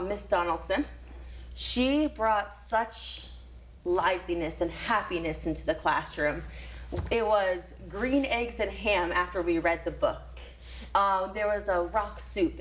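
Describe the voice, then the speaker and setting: A woman's voice: medium pitch, measured pace, slight background noise, poor audio. A woman teacher from Florida, earnest and enthusiastic as she answers interview questions about her career. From Florida, USA.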